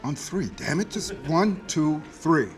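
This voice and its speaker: Man playing a steadfast gruff team director. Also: on the high side, measured pace, over loud music, lightly acted. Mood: tense. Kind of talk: TV drama table read.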